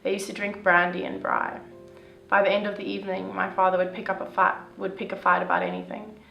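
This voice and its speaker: A young woman reading a first-person story aloud in a steady, even way. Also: medium pitch, a little fast, creaky, dull audio. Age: young adult. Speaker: youth reader. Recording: echoey room with soft guitar music.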